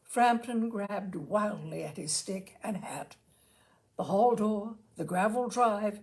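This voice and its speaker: A woman narrating a literary short story with dramatic, deliberate phrasing. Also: mid-range voice, gravelly, darkish tone. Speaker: story reader. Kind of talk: expressive scripted literary narration.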